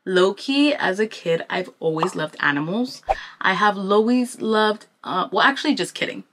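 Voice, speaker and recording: A woman's voice: mid-range voice, normal speed, a little hiss or hum. A woman in her twenties with a Southern California accent, chatting informally and with plenty of slang as she vlogs. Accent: Southern California American. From Anaheim, California, United States. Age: 20s. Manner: informal, slangy, animated when quoting people. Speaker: vlogger. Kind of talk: casual chatty get-ready-with-me talk.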